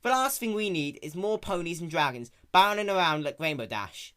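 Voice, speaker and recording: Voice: mid-range voice, lively intonation, normal speed, bright, forceful tone, clear, resonant tone, pitched above the performer's own voice. A performer voicing a plain-spoken, hardworking young country mare with an earnest, folksy rural drawl. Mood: exasperated.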